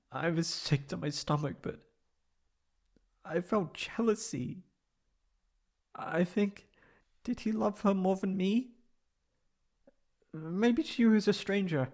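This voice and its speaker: A man voicing a wounded, anxious young catboy in an emotional ASMR roleplay, halting and stammering tearfully. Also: a little high, darkish tone. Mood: shaken, confiding.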